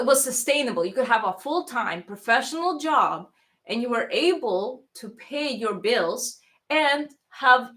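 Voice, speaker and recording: A woman's voice: on the high side, sharp tone. A woman who grew up in Toronto talks in a mixed accent with a faint Russian trace. She chats casually on a livestream and rambles, with plenty of "like" and "um" and sentences that restart midway. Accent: mixed Toronto accent with a slight Russian trace. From Russia, raised in Toronto, Canada. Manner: rambling, chatty, with many fillers and restarts. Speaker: livestream host thanking donors.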